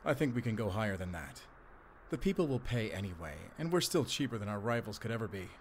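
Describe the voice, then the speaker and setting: A man's voice: on the low side, very animated, fast, a little hiss or hum. A man playing an eager, businesslike manager in a drug ring, polite and deferential, in a roleplay audio drama. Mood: businesslike, confident.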